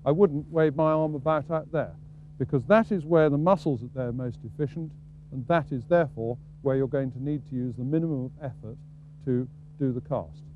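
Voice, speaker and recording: A man's voice: medium pitch, moderate pace, phone-quality sound. A middle-aged man, giving a methodical, explanatory fly-casting demonstration outdoors. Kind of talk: instructional demonstration to camera. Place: outdoors on a riverbank. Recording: noisy outdoor video sound with hum.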